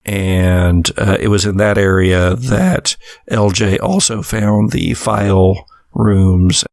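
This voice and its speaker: A man with a fairly low voice runs a horror tabletop game as game master. He speaks very slowly and descriptively, pausing often, in a dry, close recording. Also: steady tone, gravelly, darkish tone, no echo. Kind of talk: narrating a tabletop horror role-playing game.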